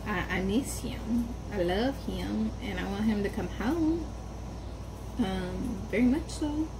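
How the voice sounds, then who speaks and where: A woman's voice: medium pitch, slow. A young woman in a casual personal vlog, talking in a rambling, hesitant way with many ums and restarts and with strongly stressed R sounds. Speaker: vlogger. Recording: background noise.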